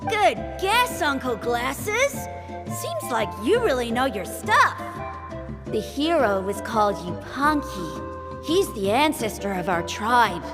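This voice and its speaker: Cheeky, high-voiced kid. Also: female voice, big pitch swings, takes it slowly. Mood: proud storytelling. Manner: cheeky, dramatic storytelling. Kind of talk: video game voice acting. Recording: reverberant, loud music behind. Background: loud game music.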